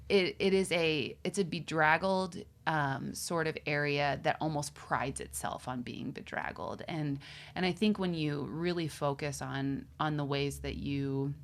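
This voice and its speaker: Low-voiced chatty woman from Western Pennsylvania. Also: fairly bright tone. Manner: chatty, self-deprecating, laughs often. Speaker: musician guest. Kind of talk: casual interview chat.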